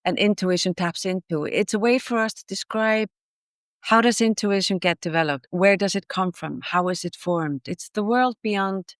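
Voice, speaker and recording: A woman's voice: medium pitch, normal speed, no echo, studio quality. A woman from Iceland whose first language is Icelandic, a guest author explaining ideas in a reflective, thoughtful way during a podcast interview.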